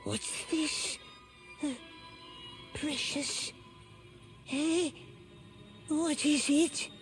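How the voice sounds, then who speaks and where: A man voicing a wretched, sly cave creature in a video game, muttering to himself in a strained, raspy voice. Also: very high-pitched, extremely slow, soft background music, heavily acted. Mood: covetous, curious. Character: wretched, sly, split-minded cave creature, fawning and suspicious. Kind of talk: video game character acting.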